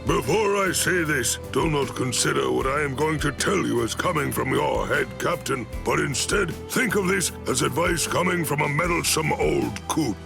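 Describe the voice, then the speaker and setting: An actor playing a stern yet kindly old military head captain in an anime game, speaking in a measured, formal, gravelly voice. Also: male voice, a little high, music in the background. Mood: gently advising. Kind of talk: scripted anime game cutscene dialogue.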